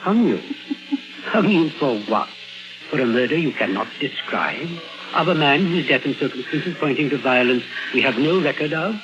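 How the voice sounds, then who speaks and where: An actor playing a self-assured, probing psychiatrist, firm but caring, with theatrical, deliberate questioning in 1950s radio drama style. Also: male voice, mid-range voice, lively intonation, natural voice. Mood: challenging. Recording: muffled vintage radio recording with music.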